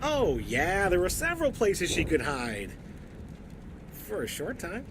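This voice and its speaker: A suspicious corporate official in a video game, with a highish voice that swings widely. He is curt, officious and defensive, over rumbling outdoor noise. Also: huge pitch swings, unhurried, exaggerated voice. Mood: cold, officious. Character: suspicious, officious corporate man guarding company interests. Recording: noisy rumbling outdoor ambience behind voice.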